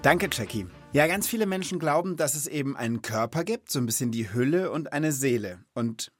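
A man's voice: mid-range voice, animated, a little fast, soft background music. A man hosting a children's podcast, talking casually in a reflective, explanatory way. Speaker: podcast host. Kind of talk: casual conversational talk for children.

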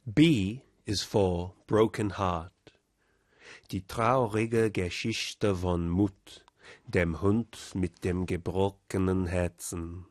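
A man's voice: low-pitched, steady tone, very slow, a little mellow, no room sound, studio quality. A man announcing chapter titles in a scripted alphabet format.

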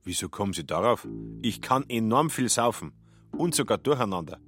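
A man's voice: fairly low pitch, expressive, brisk, a bit bright, faint music behind. A man performing narration and dialogue in a literary radio play, dry and matter-of-fact.

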